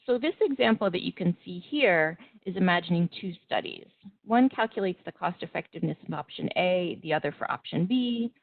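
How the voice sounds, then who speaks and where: A woman presenting and moderating a webinar, explaining in a measured way with occasional restarts. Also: fairly low pitch. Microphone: video call. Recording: tinny phone-like web call audio.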